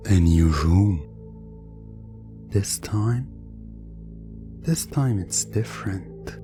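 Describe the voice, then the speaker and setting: A man with a lowish, mellow voice narrates a hypnosis roleplay in an ASMR style. He speaks extremely slowly and suggestively, leaving long pauses between phrases. Soft music plays faintly behind him.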